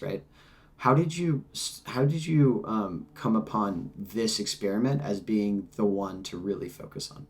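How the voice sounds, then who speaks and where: A man's voice: fairly low pitch, a little mellow. A man hosting a podcast, chatting casually with lots of "like" and "um" and laughing easily. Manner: easygoing, many fillers like "like" and "um", laughs easily. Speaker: podcast host. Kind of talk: casual interview talk.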